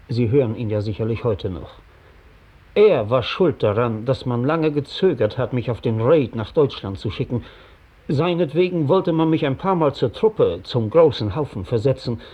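An actor playing a captured British spy giving testimony in a 1960s German radio drama, precise, deliberate and coolly ironic. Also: male voice, mid-range voice, breathy edge, dull audio. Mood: measured, bitter.

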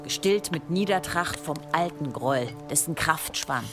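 A woman's voice: sits low, lively intonation, unhurried, music in the background. A woman playing a defiant, strong-willed village headwoman in a German audio drama, determined and intense. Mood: resolute.

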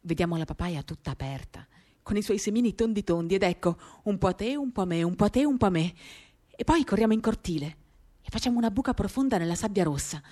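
A woman gives an expressive, lyrical dramatic reading of a first-person literary story, shifting in intensity as she tells it. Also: medium pitch, fast talker. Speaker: reader of a literary work. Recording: acoustic guitar music accompanying the voice. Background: acoustic guitar.

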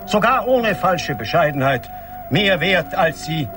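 A man's voice: a little high, lively intonation, measured pace, music in the background. An actor in a German radio play playing a pedantic, self-righteous bureaucrat who scolds his friend in a pompous, emphatic way. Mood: pompous, lecturing.

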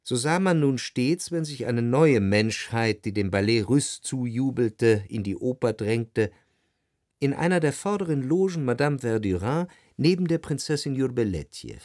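A man narrating a literary radio play in the first person, measured and composed, in a dry studio. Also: mid-range voice, expressive, a little mellow, no room sound, close to an everyday voice. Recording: dry close studio sound.

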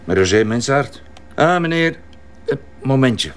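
A man's voice: medium pitch, expressive, measured pace, lightly acted. An actor playing an irritable, curt man at his desk in a radio drama, with a Flemish accent. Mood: businesslike, courteous. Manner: gruff, curt, snappy.

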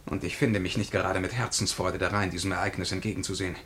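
An actor playing a haughty, menacing time traveller in a radio drama, declaiming in a pompous, commanding way. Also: male voice, low voice, level tone, fast, fairly bright tone, slight background noise. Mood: haughty, weary. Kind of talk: German radio drama acting.

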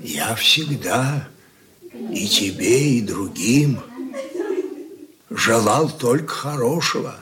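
A man's voice: medium pitch, expressive, slow, long pauses, a crowd in the background. An actor playing a stern, wounded old father, head of a merchant family, in a stage-style radio drama, blunt and admonishing. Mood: hurt, pleading.

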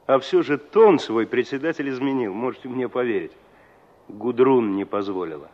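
An actor playing a knowing, gently probing man in a Soviet radio drama. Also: medium pitch, expressive, normal speed, natural voice. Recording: muffled 1960s radio play recording.

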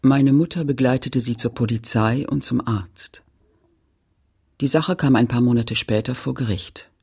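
Grave memoir narrator for a radio play, husky n creaky, measured, confessional. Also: male voice, medium pitch, darkish tone, exaggerated voice. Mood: sober recollection. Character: narrator of a shame-filled childhood memoir, sober and confessional. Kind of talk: literary radio play narration, switching between languages.

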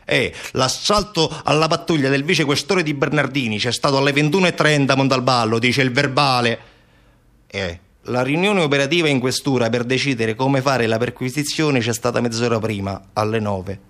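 An actor playing a blustering official in a theatre monologue, pedantic and repetitive, with stammered hesitations and rhetorical questions. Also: male voice, fairly high pitch, fairly bright tone. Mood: defensive, placating. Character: blustering male official justifying a police raid.

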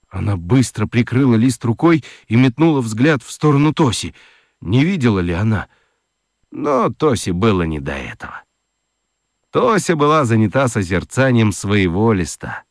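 A man narrating a children's radio story expressively, with dramatic, deliberate emphasis. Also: lowish voice, dry recording, studio quality.

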